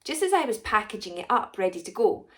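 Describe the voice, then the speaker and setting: A woman voicing a short, rich, excitable female alien potion maker in a children's story, self-important and exclamatory. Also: mid-range voice, fast talker, natural voice. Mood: excited, proud. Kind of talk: first-person children's story narration.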